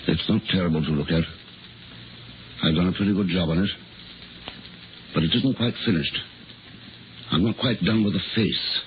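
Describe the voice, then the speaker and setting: Casting: man to act an obsessed, uneasy scientist-surgeon, low-pitched, intense and clipped, in vintage radio drama with narrow, tinny sound. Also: moderate pace, long pauses, lightly acted. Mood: driven, resolute. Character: obsessed, uneasy male surgeon turned secret experimenter. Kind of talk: 1950s radio drama acting. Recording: scratchy, tinny 1950s radio broadcast, noisy.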